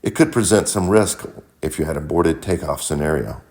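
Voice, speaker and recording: Low-voiced man giving methodical narration. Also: a little mellow. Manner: measured, methodical, instructional. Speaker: pilot and flight mentor, video host. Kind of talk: scripted explanatory narration.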